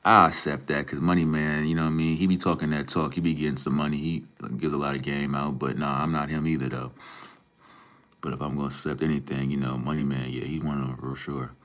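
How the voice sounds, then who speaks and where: Young man from Minnesota, extremely low voice; relaxed live-stream banter with chat. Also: quick pace. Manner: laid-back, relaxed slang, calls viewers bro and man. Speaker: livestreamer. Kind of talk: casual live stream chat, reading and answering viewer comments.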